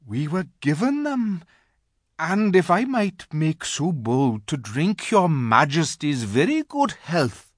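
An old dog fox from a storybook, as a male reader voices him, polite and deferential, slow and hesitant, stammering out his words. The voice is lifted above the reader's own and sits dry and close to the mic. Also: fairly high pitch, very animated, no echo, voice pushed up, full character voice. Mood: timid, polite.

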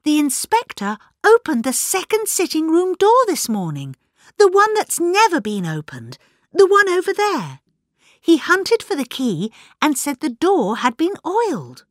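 A fluttery older lady in a storybook, performed by a woman narrator, pitched high and swooping all over. She rambles breathlessly and apologises as she dithers. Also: sing-song, slow pace, pauses often, a bit bright, no room sound, studio quality, exaggerated voice. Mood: excited, chattering. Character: flustered, chattering, apologetic older woman companion.

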